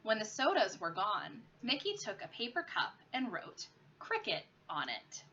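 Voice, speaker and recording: A woman's voice: mid-range voice, sing-song, normal speed, sharp tone. A woman, a teacher, reading a children's story aloud expressively. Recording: muffled lo-fi with clear background noise.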